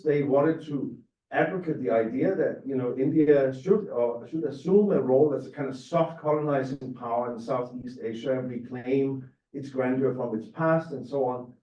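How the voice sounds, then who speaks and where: A man's voice: mid-range voice, mellow. A man giving a talk with slides on a panel, in an academic way with hesitant restarts. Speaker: panel speaker. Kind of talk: lecture with slides. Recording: roomy, distant, dull sound.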